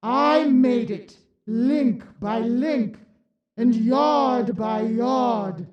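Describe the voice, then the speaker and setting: A woman's voice: a little high, extremely slow, lo-fi sound. A woman playing the mournful, stern ghost of a dead businessman in a radio drama, solemn and declamatory. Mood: mournful, warning. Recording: echoey, distant, ghostly reverberant sound.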